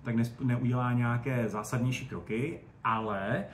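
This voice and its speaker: A man's voice: mid-range voice, fairly even intonation. A man speaking as an expert guest in a conversational interview, digressing and often correcting himself as he goes. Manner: digressive, hesitant, self-correcting. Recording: echoey room with faint noise.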